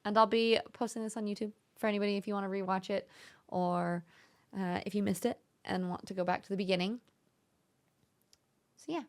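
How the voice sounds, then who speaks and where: A young woman hosting a casual live-stream chat, speaking in a gentle, encouraging and thoughtful way. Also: mid-range voice, fairly even intonation, a little fast, pauses often. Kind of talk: casual live-stream chat, reading viewer messages aloud.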